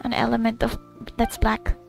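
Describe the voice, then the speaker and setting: Young woman gamer reacting casually, highish voice w lots of fry, mumbly asides, faint game music. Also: completely flat delivery, moderate pace. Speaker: gaming video creator. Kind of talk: casual spoken reactions to gameplay.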